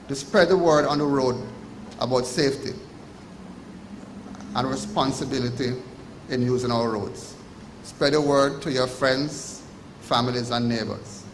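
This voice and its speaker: A man with a Jamaican accent delivers a formal prepared speech in a measured, emphatic way. His voice bounces around an echoey hotel function room, with faint ambience underneath. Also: mid-range voice, a little hiss or hum. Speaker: ceremony speaker.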